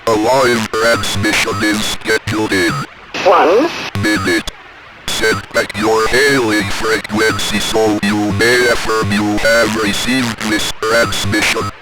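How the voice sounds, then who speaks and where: An automated machine voice reading out transmission notices in a cold, impersonal, halting robotic manner. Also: mid-range voice, loud music behind the voice, close to an everyday voice. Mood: impersonal, emotionless. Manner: flat, halting, robotic phrasing. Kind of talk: automated scripted announcement.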